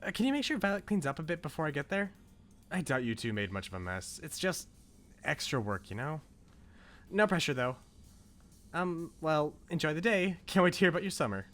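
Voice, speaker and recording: A man's voice: a little high, very animated, fairly quick, fairly bright tone, faint music behind, voice pushed up. A man voicing a bubbly, proud, chatty middle-aged mom in a visual novel, gushing as she leaves a voicemail. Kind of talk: visual novel read-aloud.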